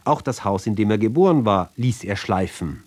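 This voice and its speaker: A man's voice: lowish voice, lively intonation, normal speed, darkish tone. A man gives a declamatory literary radio reading in clipped phrases with pointed, sardonic emphasis. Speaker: reader of a literary text.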